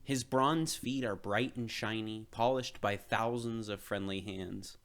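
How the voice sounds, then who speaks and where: Male storyteller for children's read-aloud, low register, expressive and measured, leaning on key words. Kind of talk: expressive children's picture book reading.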